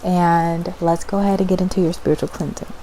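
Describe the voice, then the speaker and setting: A woman's voice: lowish voice, little pitch movement, a little fast, background noise. A woman hosting ASMR as a spiritual cleansing guide, speaking in a soothing, instructive way and often checking in with the listener. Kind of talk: ASMR personal-attention roleplay.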